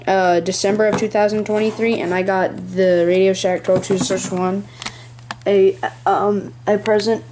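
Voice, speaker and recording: A hobbyist giving a casual, rambling show-and-tell in a small room at home, speaking haltingly near a handheld camera. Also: mid-range voice, a little mellow. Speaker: hobbyist presenting a radio collection. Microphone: handheld camera close by.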